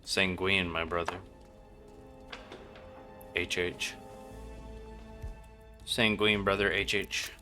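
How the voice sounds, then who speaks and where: A man's voice: deep voice, fairly even intonation, very slow, long pauses, pushed and bright. A man streaming a video game with casual, slangy, irreverent commentary. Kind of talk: casual live game commentary, crude joking. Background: game music and sounds.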